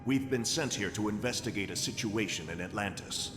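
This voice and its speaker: An actor playing an upright superhero team captain in a video game, speaking firmly and earnestly. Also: male voice, fairly low pitch, a little fast, big-room sound, over music, natural voice. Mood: earnest, resolute. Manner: firm, earnest, commanding. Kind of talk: video game hero acting.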